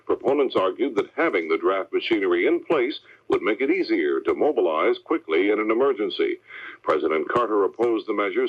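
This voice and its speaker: Low-voiced American radio newsman, vintage broadcast. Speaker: network radio news anchor. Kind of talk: scripted 1970s radio newscast. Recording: dull vintage AM radio recording.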